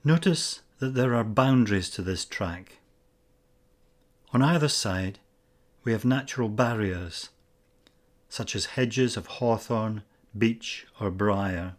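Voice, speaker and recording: Man, lowish pitch, guided meditation narration, scripted, measured instructional phrasing, pauses between lines.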